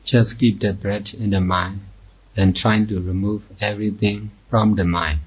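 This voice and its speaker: A man teaching guided meditation slowly, in measured short phrases with long pauses. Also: on the low side, level tone, slightly rough. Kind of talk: slow guided meditation instruction. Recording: dull, muffled lo-fi recording.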